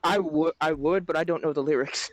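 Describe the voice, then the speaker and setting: A man's voice: a little high, steady tone, fairly quick, no room sound. A man co-hosting a podcast, talking crudely and making goofy over-the-top threats, with some stammering. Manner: mock-threatening, stammering, profane. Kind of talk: crude casual chat.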